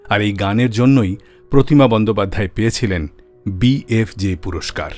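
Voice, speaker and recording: A man's voice: fairly low pitch, brisk, a little mellow, soft background music. A man hosting a radio show, telling scripted stories about classic songs.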